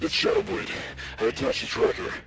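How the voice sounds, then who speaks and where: An actor playing an urgent, commanding vigilante in an audio drama, with a strained, breathy rasp, heard as a distant call. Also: male voice, brisk, fairly bright tone, close to an everyday voice. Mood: strained urgency. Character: urgent, commanding male masked vigilante calling for backup.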